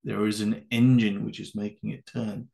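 A man narrating a slide-based training lecture for volunteer ski guides in a measured, instructive way. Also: lowish voice, flat delivery, gravelly, darkish tone. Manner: measured, instructive, with occasional fillers. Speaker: adaptive ski course presenter. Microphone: voice-over.

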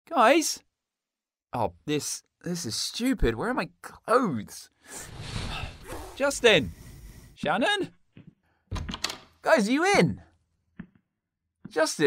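A man's voice: very high-pitched, a bit bright, exaggerated voice. A man playing an anxious, easily rattled young exchange student in a radio drama, exclamatory and agitated. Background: music.

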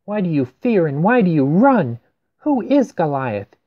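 A man's voice: high voice, big pitch swings, unhurried, narrow, tinny audio, pitched above the performer's own voice. A man voicing a brave, earnest young shepherd boy who declaims boldly in rhyme, for a storybook. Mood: defiant.

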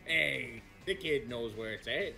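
A man voicing a blunt, easygoing crocodile detective, chatting casually and haltingly in character. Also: mid-range voice, creaky. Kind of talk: casual gaming chat in character. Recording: music behind the voice.

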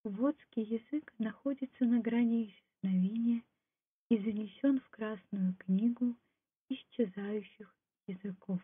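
A woman with a husky voice giving a scripted storytelling narration. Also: unhurried. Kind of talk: scripted folk tale and documentary narration. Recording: muffled, low-fidelity phone-like sound.

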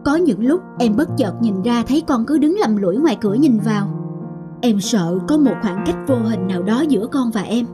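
A woman's voice: on the high side, expressive, normal speed, natural voice. Young mom, woman acting, tender, worried, cartoon family drama, music behind.

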